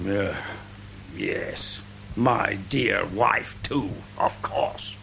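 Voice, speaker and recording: A man's voice: lowish voice, very slow, vocal fry, pushed and bright, exaggerated voice. A man playing a fussy, cautious British father-in-law in a radio detective drama, anxiously giving advice. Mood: cautioning, awkward. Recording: faintly noisy old radio drama recording.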